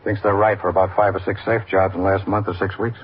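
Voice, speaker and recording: An actor playing a laconic, understated police detective lieutenant in a classic radio drama. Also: male voice, low voice, level tone, fast talker, lightly acted. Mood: dry, resigned. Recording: muffled, hissy old radio recording.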